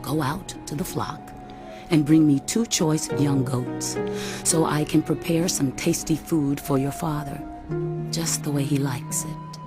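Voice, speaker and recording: An actress playing a scheming, commanding mother who speaks firmly and deliberately in a Bible audio drama. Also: deep voice, fairly even intonation. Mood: urgent, instructing. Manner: firm, deliberate, urging. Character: scheming, commanding mother instructing her grown son. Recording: loud music behind the voice.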